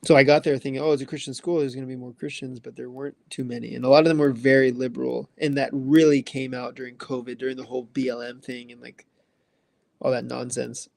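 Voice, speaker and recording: Young man in his twenties, gravelly low-key voice, rambling casual chat host, dry close mic. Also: level tone, fast talker, a little mellow, dry recording. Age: 20s. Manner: loose, rambling, filler-heavy. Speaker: livestream host answering chat.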